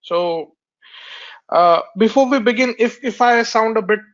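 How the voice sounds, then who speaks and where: A man's voice: sits high, a little slow. A man giving a technical webinar presentation, talking with a nasal sound from a cold and hesitating with many fillers and restarts, recorded through a computer mic on a conference call. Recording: dull lo-fi webinar audio.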